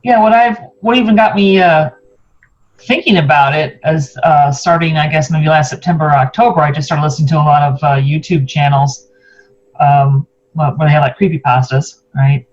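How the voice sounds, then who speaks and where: A woman's voice: sits low, moderate pace, a little mellow. Woman host on dull lo-fi audio. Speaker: host, interviewer. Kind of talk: casual interview chat. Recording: dull lo-fi sound.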